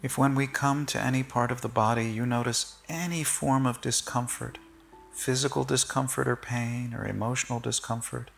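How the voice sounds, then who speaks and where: A man leading a guided body-scan meditation in short, gentle, measured phrases with long pauses. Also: mid-range voice, steady tone, gravelly, soft background music.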